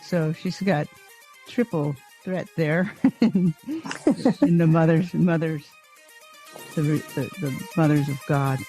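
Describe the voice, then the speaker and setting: A woman's voice: deep voice, slow pace, long pauses, mellow, faint music behind, rough recording. A woman podcast host introducing her guest admiringly, often restarting and stumbling over words. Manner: admiring, with frequent restarts and fillers.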